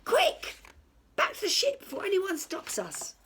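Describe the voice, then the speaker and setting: A loud, bossy pirate captain from a children's story, pitched high and barking his orders, as a woman reading aloud puts him on. He shouts most of what he says. He sounds impatient and commanding. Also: huge pitch swings, a little slow, heavily acted.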